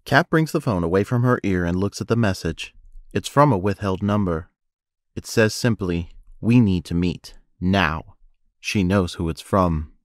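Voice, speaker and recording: Male narrator for scripted audio drama: deep register, dry studio-like sound. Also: unhurried, dry recording.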